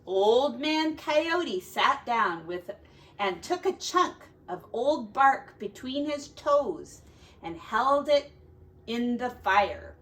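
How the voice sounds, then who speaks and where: Slow, animated woman reading a children's storybook. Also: a little high, low-quality recording. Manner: dramatic, deliberate, with quiet asides to young listeners. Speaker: teacher reading a storybook aloud. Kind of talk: expressive read-aloud of a children's folk tale.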